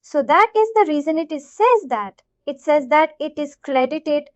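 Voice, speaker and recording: High-pitched Indian woman teaching, dull audio. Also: expressive, normal speed, no echo. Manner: repetitive, checks that listeners follow. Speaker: software instructor. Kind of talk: informal live tutorial explanation.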